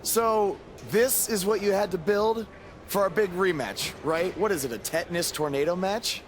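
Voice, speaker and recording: A man's voice: high-pitched, normal speed, slight background noise, lightly acted. A man acting as a wry, earnest, stubborn young pro wrestler in a video game, speaking casually with a sarcastic edge. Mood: wry, subdued. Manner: sarcastic, animated. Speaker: wrestler lead character. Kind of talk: video game cutscene dialogue, casual banter.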